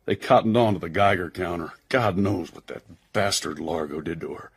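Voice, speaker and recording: A husky, low-voiced American agent. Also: male voice, normal speed, exaggerated voice. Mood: blunt, admiring. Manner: wry, slangy, blunt. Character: breezy, loyal American male intelligence agent, tough. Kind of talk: radio drama acting.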